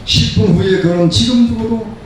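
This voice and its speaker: Echoey, higher-voiced elderly male preacher. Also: moderate pace. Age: 70s. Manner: emphatic, repeats phrases in parallel for stress. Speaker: pastor preaching. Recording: very echoey room, distant mic, faint noise.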